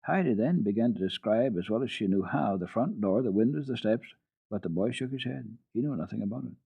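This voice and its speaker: A man giving an expressive, deliberate dramatic reading of children's literature as a radio storyteller. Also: on the low side, gravelly, husky. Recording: dull phone-like old radio recording, roomy echo.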